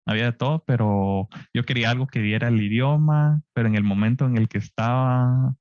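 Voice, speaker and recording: A man in his thirties from Guatemala with a Guatemalan Spanish accent, telling his story in a relaxed, chatty interview with frequent tag questions. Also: mid-range voice, a little fast, no room sound. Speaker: guest scientist. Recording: dull lo-fi dry podcast audio.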